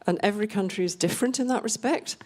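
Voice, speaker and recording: An older woman from Scotland giving an unscripted panel talk in a measured, explanatory way with emphatic repetition. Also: mid-range voice, darkish tone. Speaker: panel speaker on rural communities.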